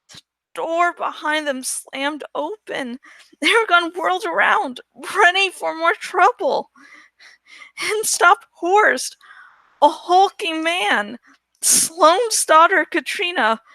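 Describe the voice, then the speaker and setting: High, bright woman reading a story slowly. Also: no echo. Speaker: audiobook-style reader. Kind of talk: scripted storybook narration.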